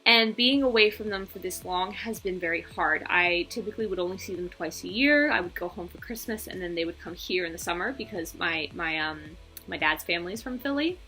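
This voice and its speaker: A woman's voice: fairly low pitch, animated, fairly quick, sharp tone, faint music behind, low-quality recording. A woman in her twenties with an American accent, talking casually and chattily to her channel's viewers, breaking off into small asides. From England, United States and Belgium. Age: 20s. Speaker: video channel host. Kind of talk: casual personal vlog talk.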